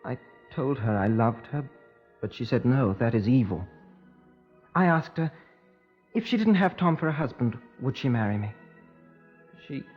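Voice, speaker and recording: A man's voice: medium pitch, lightly acted. An actor playing a lovestruck, volatile young English handyman in an emotional, earnest 1950s radio crime drama. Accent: British. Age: young adult. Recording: muffled old radio broadcast recording.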